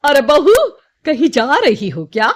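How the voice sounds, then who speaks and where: A woman's voice: high voice, sing-song, a little slow. A woman playing a proud, envious elderly mother-in-law in a cartoon story, stiff and complaining. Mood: ingratiating. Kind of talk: acted cartoon story dialogue.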